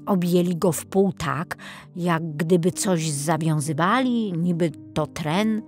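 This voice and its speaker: A woman narrating a children's fairy tale as an audiobook, reading from a script in an expressive storytelling manner. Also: on the low side, slow pace, faint music behind.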